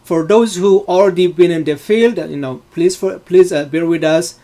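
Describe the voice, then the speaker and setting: A man's voice: sits high, normal speed, dark tone. Moroccan-born man lecturing with many fillers. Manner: many fillers, loose phrasing. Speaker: data science instructor. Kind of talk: live online lecture.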